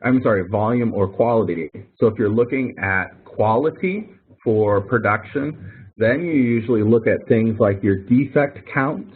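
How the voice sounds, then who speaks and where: Man, professor, lowish slightly husky voice, conversational step-by-step explaining, online help session, thin phone-line audio. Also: lively intonation, moderate pace. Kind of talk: informal explanatory tutoring with questions and answers. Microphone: online call microphone.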